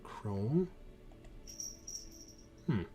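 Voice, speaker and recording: A man narrating a tabletop roleplaying game as game master, in an informal, halting way. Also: on the low side, expressive, mellow. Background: music.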